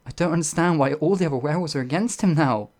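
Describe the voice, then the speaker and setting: A man voicing a shy, gentle werewolf in an ASMR audio roleplay, hesitant and halting, with a breathy, gravelly voice that often drops toward a whisper. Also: on the high side, close to an everyday voice. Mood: distressed, bewildered. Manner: hesitant, timid, halting, with sighs and trailing phrases. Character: shy, gentle, bumbling pacifist male werewolf, older than he looks.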